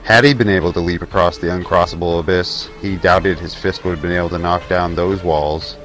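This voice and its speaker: A man's voice: low-pitched, steady tone, a little fast, a bit bright. A man narrating a scripted fantasy tale as the storyteller of an audio drama. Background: faint music.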